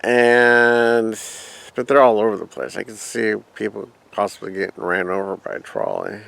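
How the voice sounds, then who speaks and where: A man's voice: lowish voice, vocal fry, a bit bright. A man casually telling a rambling personal travel story, reminiscing and quoting his own past thoughts. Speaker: vlogger.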